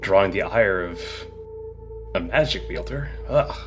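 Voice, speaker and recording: A man's voice: low-pitched, expressive, a little slow. A man playing a tabletop role-playing game, speaking casually and partly in character. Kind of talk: casual role-play chat. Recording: faint music behind the voice. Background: faint background music.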